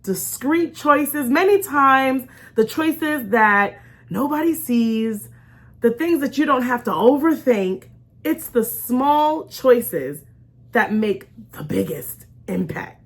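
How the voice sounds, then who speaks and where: A woman hosting an informal faith talk in an animated, conversational way, stressing and repeating key phrases and acting out imagined remarks. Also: high-pitched, slow pace. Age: adult with young children. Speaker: host of a faith storytime show.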